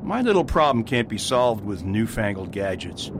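Man voicing a polite soft-spoken priest, lively intonation, radio play, hiss in background. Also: on the low side. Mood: calm polite. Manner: polite, measured, gently persistent. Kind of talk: amateur radio drama acting. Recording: noisy recording with steady hiss.

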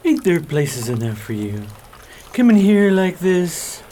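A woman's voice: fairly low pitch, sing-song, a little slow, mellow, slight background noise. Woman acting a swooping, coarse, pushy pest. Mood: indignant. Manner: coarse, needling, ungrammatical. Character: pushy, sneering, coarse pest who won't leave. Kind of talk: radio drama acting.

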